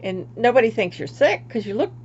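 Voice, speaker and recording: An older woman from Florida, chatting casually on her craft livestream, rambling and laughing at herself, often trailing off quietly. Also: fairly high pitch, very animated, fairly quick, lo-fi sound, slight background noise. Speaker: craft vlogger.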